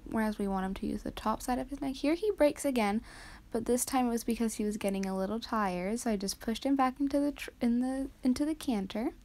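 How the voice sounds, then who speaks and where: Teen girl, fry-heavy, slightly high, soft hesitant voice-over, casual explaining. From Canada. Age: teens. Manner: soft, hesitant with frequent ums. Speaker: vlogger. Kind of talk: voice-over commentary on riding footage.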